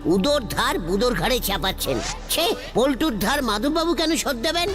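A performer voicing an excitable, pompous male judge in a comedy cartoon, repeating himself and mangling words. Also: mid-range voice, normal speed, natural voice. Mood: scolding. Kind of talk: Bengali horror comedy cartoon dialogue. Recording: loud music under the dialogue.

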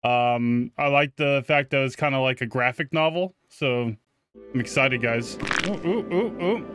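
A man giving casual first-look game commentary, excitable and sweary, reacting aloud and chatting to his viewers. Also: medium pitch, unhurried, smooth, no echo, faint music behind. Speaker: gaming video host.

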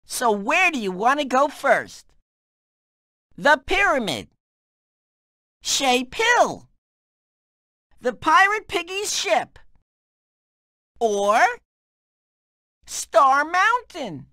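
A chirpy cartoon map guiding small children through a game. The voice is high and bright, with slow, emphatic explanations. It sounds eager and helpful. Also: male voice, big pitch swings, no room sound, studio quality, heavily acted. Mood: eager, explaining. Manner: emphatic, explanatory, addressing young children directly.

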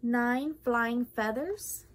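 A woman's voice: a little high, very slow, a little hiss or hum. A young adult woman, a children's librarian, hosting and reading aloud a storytime for children.

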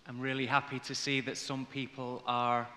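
A man in his forties from Liverpool with an English accent, giving a conference presentation in measured phrases. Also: medium pitch, monotone, sharp tone. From Liverpool, England. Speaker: corporate conference speaker. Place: large indoor conference hall, on stage. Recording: reverberant hall sound from stage.

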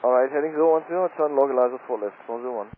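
A man's voice: medium pitch, very fast. A man working as an airline pilot, speaking in clipped readbacks over aviation radio. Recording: tinny aviation radio, clear static.

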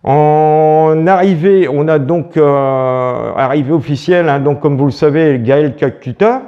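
A man in his fifties reads amateur local news in a slightly higher voice. He hesitates often and leans on fillers. The sound is muffled and lo-fi. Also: a little slow. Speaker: local news presenter and interviewer.

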